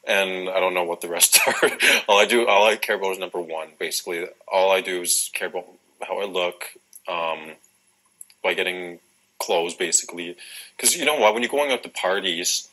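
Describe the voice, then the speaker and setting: Very deep-voiced young man, bright and filler-heavy. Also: low-quality recording. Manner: vain, filler-heavy, halting with lots of "like". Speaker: casting contestant. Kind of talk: archive TV interview and audition.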